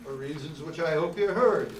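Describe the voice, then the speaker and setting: A man as high-pitched, wisecracking hard-boiled detective. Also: moderate pace, close to an everyday voice. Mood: wry. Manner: sardonic, wisecracking. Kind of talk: amateur old-time radio play acting. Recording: echoey, distant, noisy room recording.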